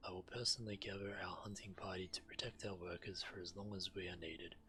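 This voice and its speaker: Deep voiced walrus tribe warrior, proud n solemn, punchy edge, game ambience behind. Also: male voice, fairly even intonation, fast, bright, forceful tone, slight background noise. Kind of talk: video game character dialogue.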